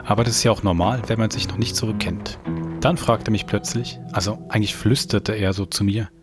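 A man's voice: fairly low pitch, fairly even intonation, brisk. A man presenting a podcast and reading a story aloud in a conversational way. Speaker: podcast host. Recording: music underneath.

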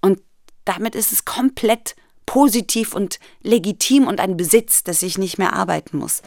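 A woman's voice: mid-range voice, moderate pace, creaky, a little mellow. A woman speaking conversationally, asking questions and commenting.

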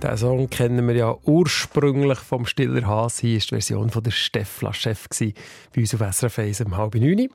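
A man presenting a radio show, reading traffic news and listener questions in a brisk announcer manner. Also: mid-range voice, steady tone, vocal fry, darkish tone, faint music behind. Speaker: radio host.

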